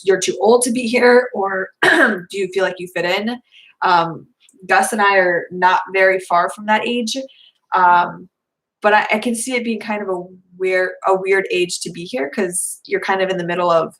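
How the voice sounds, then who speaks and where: A young woman talks her way casually through viewer questions at home, her voice a little hoarse. She rambles and restarts, filling gaps with "um" and "like." Her pitch sits in a plain middle range. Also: fairly bright tone, low-quality recording. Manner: chatty, rambling with many fillers. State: slightly hoarse. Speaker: vlogger answering viewer questions. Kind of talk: casual live Q&A chat.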